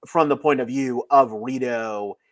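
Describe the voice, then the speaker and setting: A man with a medium-pitched voice leads a casual review as a podcast co-host. His delivery is unscripted and halting, full of filler words and restarts.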